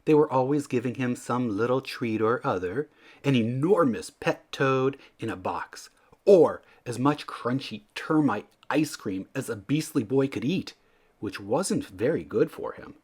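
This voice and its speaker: A man reading a children's story aloud in an expressive storytelling style. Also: mid-range voice, measured pace, breathy edge. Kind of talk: expressive scripted storybook narration.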